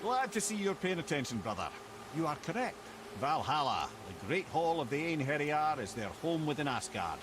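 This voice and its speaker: An actor playing a wise, wry older Scottish storyteller in a video game, with a lilting, theatrical delivery that savours old lore. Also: male voice, on the high side, big pitch swings, moderate pace, background noise. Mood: knowing.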